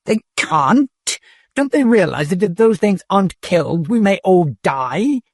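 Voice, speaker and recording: A man voicing a frightened male official who shouts shrill, urgent orders. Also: unhurried, creaky, no room sound, studio quality.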